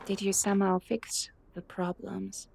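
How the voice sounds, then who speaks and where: A woman playing a calm, frank young woman in an audio drama. Her mellow, dark voice carries a lot of vocal fry, and she teases in an even, gentle way. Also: medium pitch, measured pace, a little hiss or hum.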